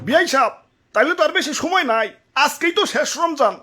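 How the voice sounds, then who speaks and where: A man's voice: very high-pitched, animated, quick pace, bright, forceful tone, soft background music, exaggerated voice. A man playing a poor but proud lemon father in a comic cartoon, earnest and melodramatic, with a Bangladeshi accent. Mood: friendly, hopeful.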